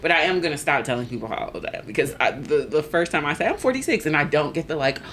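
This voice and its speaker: Deep-voiced woman in her forties, animated. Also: quick pace, a little hiss or hum. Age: 40s. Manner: animated storyteller, acts out voices, laughs often. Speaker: podcast guest. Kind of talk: casual storytelling chat.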